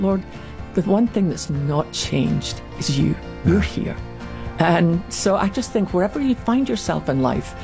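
A woman gently recounting a personal faith story in a reflective, heartfelt way. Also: on the low side.

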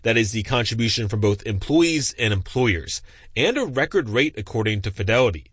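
A man reporting business news in a plain, even delivery. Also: on the low side, a little fast, husky, no echo, clean studio sound. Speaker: business correspondent.

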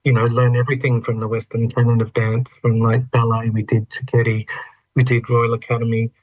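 An Australian man in his fifties from Melbourne's western suburbs, telling his own story in a reflective, thoughtful, halting way as an interview guest. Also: mid-range voice, level tone, a little mellow, low-quality recording. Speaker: interview guest, trained dancer. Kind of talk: reflective personal storytelling in conversation.

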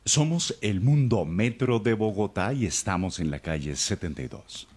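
Man, low husky voice, lively pitch, good-humored. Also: normal speed. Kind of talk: casual group chat.

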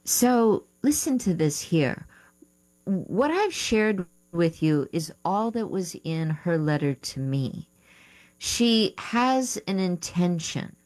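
Slow, low-voiced female advice coach. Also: big pitch swings, darkish tone. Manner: thinking aloud, unsure of terms, self-correcting. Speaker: writing coach and radio host. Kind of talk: advice show talk, reading listener letters.

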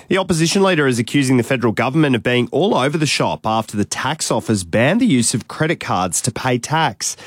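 A man reading a radio news bulletin in a measured, even way. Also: mid-range voice, dry recording, clean studio sound. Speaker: newsreader.

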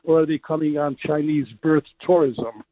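An older man in his sixties calls in over a tinny, phone-like line. His voice is raspy today and a little higher than most men's. He argues his point insistently. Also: fairly even intonation, normal speed, no echo. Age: 60s. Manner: argumentative, insistent. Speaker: caller. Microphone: telephone.

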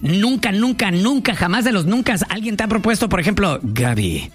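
A male radio host interviewing a guest in a joking, teasing way, firing off quick questions. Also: high-pitched, lively intonation, slightly rough, soft background music.

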